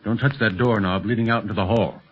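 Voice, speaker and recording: Husky, calm secret agent. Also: male voice, lowish voice, soft background music. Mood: serious, deliberate. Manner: measured, reasoning, reassuring. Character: calm, sharp-minded secret police agent, fatherly uncle. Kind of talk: 1930s radio serial acting. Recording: muffled vintage 1930s radio recording.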